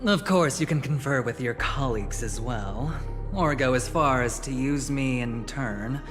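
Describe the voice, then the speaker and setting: A man playing a smug, scheming gambler in a video game, suave and taunting, with theatrical, drawn-out phrasing. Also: mid-range voice, in a large hall, over music, close to an everyday voice. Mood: sly, persuasive. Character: smug, scheming male gambler, teasing and menacing dealmaker.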